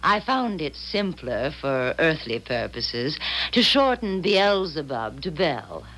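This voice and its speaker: A devil in the guise of a polished woman, sly and coaxing by nature. Her delivery is formal and smooth with very lively intonation, in the manner of a 1970s radio play. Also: deep voice, slow, full character voice, low-quality recording. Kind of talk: 1970s radio drama acting. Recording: 1970s radio broadcast recording.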